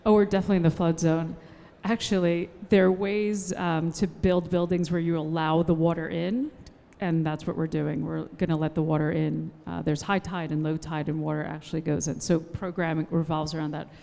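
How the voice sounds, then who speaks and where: A woman's voice: low voice, flat delivery, a little fast, gravelly, warm tone. A woman on a conference panel, answering an audience question and enthusiastically promoting her project. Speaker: panelist, developer. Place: conference hall. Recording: long hall reverb.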